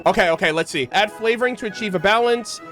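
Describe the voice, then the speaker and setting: High-voiced excitable male game streamer. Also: quick pace. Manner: excitable, swearing, talking to himself and viewers. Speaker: gaming streamer. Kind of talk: casual reaction commentary during play. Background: game music.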